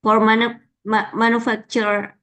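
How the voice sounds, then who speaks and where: A woman's voice: a little high, level tone, measured pace, a bit bright. A woman leading a classroom discussion, prompting students with questions. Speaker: lecturer.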